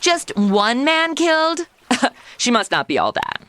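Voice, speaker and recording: A woman's voice: sits high, big pitch swings, unhurried, heavy background noise. An actress playing a self-absorbed modern young woman in a comedy audio drama, sarcastic and petulant. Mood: flippant. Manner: sarcastic, petulant, valley-girl phrasing.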